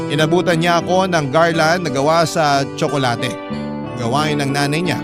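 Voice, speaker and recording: A Filipino man tells a dramatic first-person story in a slightly high voice. Loud guitar music plays behind his narration. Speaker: story narrator, reading a letter sender's account. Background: loud plucked guitar music.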